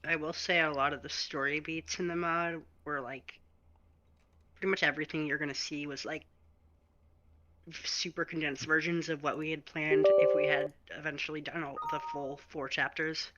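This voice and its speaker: Slightly high-voiced man, halting developer. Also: flat delivery, lo-fi sound. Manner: hesitant, halting, with restarts. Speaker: mod developer guest. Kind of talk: casual developer commentary.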